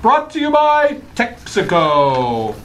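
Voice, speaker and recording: A man's voice: high voice, wildly swooping pitch, takes it slowly, full character voice. A man playing an eager, friendly radio announcer and sidekick in old-time comedy style. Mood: announcing.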